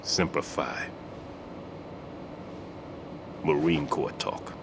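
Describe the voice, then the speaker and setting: A man playing a methodical, somber veteran bomb disposal expert in a video game cutscene, explaining precisely and gravely. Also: extremely low pitch, steady tone, unhurried, background noise. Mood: wary, suspicious. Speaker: demolition expert giving instructions.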